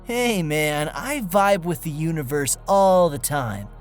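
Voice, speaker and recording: A man plays an easygoing, fatalistic young slacker in an audio space comedy. He drawls slowly in a slightly high voice. Faint music runs behind him. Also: expressive. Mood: mellow. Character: laid-back, fatalistic young dude stranded in space. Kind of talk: audio comedy drama acting.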